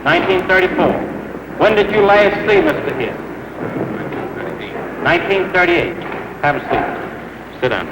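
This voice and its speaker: An American man puts formal questions as an interrogator at a hearing. His voice is fairly high and rings around a large reverberant room on a noisy archival recording. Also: sing-song, measured pace, pauses often.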